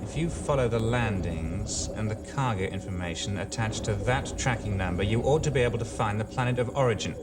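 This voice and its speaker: A man's voice: lowish voice, a little fast, few pauses, lightly acted. An actor playing a flippant, busy yuppie businessman in a sci-fi game cutscene, theatrical and quippy. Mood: obliging, brisk. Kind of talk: video game cutscene acting. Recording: noisy old game audio, vehicle-like hum.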